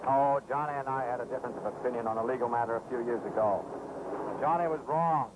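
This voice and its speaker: An actor playing a genial, wry, unflappable private detective in a 1950s American TV series, on a very noisy old soundtrack. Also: male voice, mid-range voice, quick pace, close to an everyday voice. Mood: calm, explanatory.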